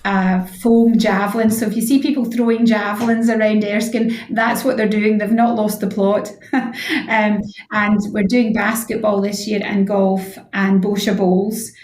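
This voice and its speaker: Lady being interviewed on radio, bit of an echoey room, normal pitch, chatty and explaining stuff. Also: flat delivery, measured pace, a little mellow, a little hiss or hum. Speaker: head of physiotherapy, interview guest. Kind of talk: informal radio interview chat.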